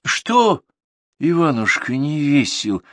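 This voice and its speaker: A man's voice: medium pitch, big pitch swings, takes it slowly, no echo, pitched above the performer's own voice, full character voice. A man voicing a small, kind and wise humpbacked horse comforting its friend. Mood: gentle, wise. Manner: gentle, coaxing.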